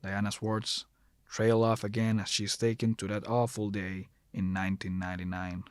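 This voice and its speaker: A man's voice: on the low side, little pitch movement, normal speed, smooth, no echo. A man narrating a scripted horror story as the narrator, recorded close to the mic.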